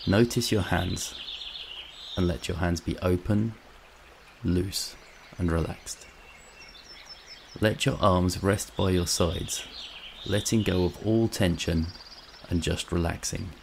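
A man narrating a scripted guided meditation in a calm, soothing, measured way, with long pauses. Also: deep voice, gravelly, slight background noise.

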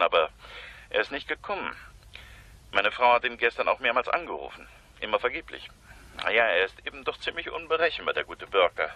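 A man's voice: medium pitch, bright, forceful tone. An actor playing a nonchalant, wry, wealthy male financier in a radio crime play.

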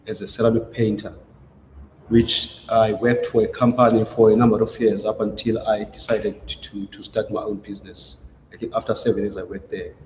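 A man from South Africa talks about his work in a low voice, often restarting his sentences and hesitating. He is recorded over a video call in a gallery room, so the sound rings with long reverb and a faint hiss underneath. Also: a little mellow. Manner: hesitant, many restarts and fillers. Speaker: ceramic artist. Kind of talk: conversational interview. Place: gallery showroom, indoors. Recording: roomy echo with faint noise.

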